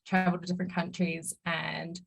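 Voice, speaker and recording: Woman, 20s, early-career speaker; friendly, eager, low and gravelly. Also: flat delivery, a little fast. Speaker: mentee and consultant. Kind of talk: personal career story.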